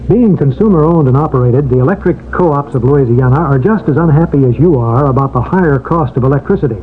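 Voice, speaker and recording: A man's voice: mid-range voice, fast. A man reading a formal scripted radio message as a company spokesman. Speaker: utility spokesman. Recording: tinny old radio, faint noise.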